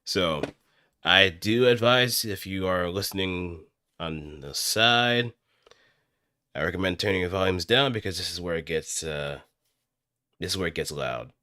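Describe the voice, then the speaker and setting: A man's voice: low voice, measured pace, bright, forceful tone, dry recording, studio quality. A man streaming casually, talking listeners through his voice acting practice. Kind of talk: casual live stream commentary.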